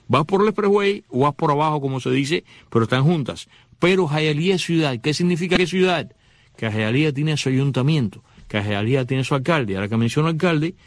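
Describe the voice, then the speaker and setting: A man's voice: on the high side, dry recording. A man hosting a talk show, chatting casually and explaining with many asides and fillers. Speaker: host. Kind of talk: casual radio-style talk.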